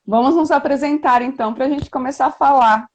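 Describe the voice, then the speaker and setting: A woman's voice: sits high, brisk. A Brazilian woman hosting a live video-call interview as a doula, chatty with frequent tag questions. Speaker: doula and host.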